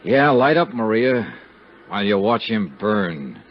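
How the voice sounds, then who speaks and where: A tough, cynical American detective from a 1950s radio serial. He speaks in clipped, sardonic slang with a very animated pitch. The old recording is dull, with faint noise behind him. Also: measured pace. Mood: cold, sardonic. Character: hard-boiled male investigator, cynical, tough and world-weary. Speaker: lead actor and narrator of a radio detective serial.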